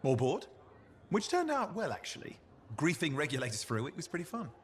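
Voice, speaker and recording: An actor playing a playful, cheeky young freelance partner in a video game, with a jokey, teasing manner. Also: male voice, on the high side, huge pitch swings, fairly quick, slight background noise. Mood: amused, cheeky.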